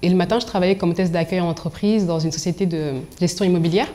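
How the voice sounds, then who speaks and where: Expressive young woman telling her story. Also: on the low side, fast. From Angola and Congo. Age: young adult. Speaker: professional confidence coach being interviewed. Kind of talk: personal storytelling in an interview.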